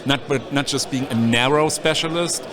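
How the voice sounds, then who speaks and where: A man's voice: medium pitch, normal speed. Man chatting over a conference crowd. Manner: loose, fills speech with "like". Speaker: guest, sales executive. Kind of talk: casual conversation. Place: onsite at a user conference. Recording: busy crowd behind.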